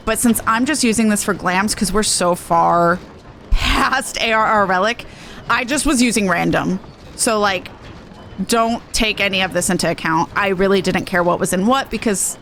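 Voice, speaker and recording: A woman's voice: mid-range voice, fairly bright tone, a little hiss or hum. A woman game streamer giving a casual walkthrough in a chatty, slangy, informal way. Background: game music.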